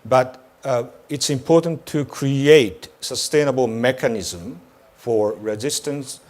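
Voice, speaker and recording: Halting man on a religious panel. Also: medium pitch, darkish tone. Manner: measured, halting, many "uh"s. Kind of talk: panel discussion.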